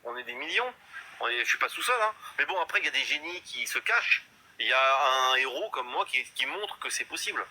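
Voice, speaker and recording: A man's voice: medium pitch, very animated, fairly quick, sharp tone, a little hiss or hum. A man in his fifties in a casual live chat, boastful and rambling, full of hesitations. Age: 50s. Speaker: guest in a live stream chat.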